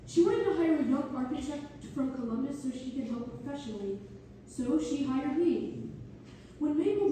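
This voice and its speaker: A woman's voice: high-pitched, mellow, natural voice. A woman playing an earnest young male architect who fondly recalls his patron in a staged read-through. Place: reverberant hall, community theater performance. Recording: echoey hall from far off, faint noise.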